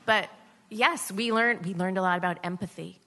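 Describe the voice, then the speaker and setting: Bright-voiced American woman telling stories. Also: medium pitch, normal speed. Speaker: interview guest, writer. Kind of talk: conversational storytelling in a live interview.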